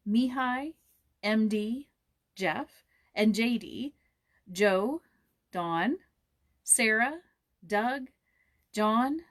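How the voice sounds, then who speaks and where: A woman's voice: on the high side, fairly bright tone. A woman chatting casually as host and narrator of a read-aloud, informal and thinking aloud as she goes.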